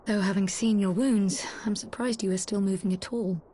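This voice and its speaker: An actress playing a gentle, composed woman healer in a video game, soft and reassuring. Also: medium pitch, steady tone, moderate pace, slight background noise, close to an everyday voice. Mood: soothing, concerned.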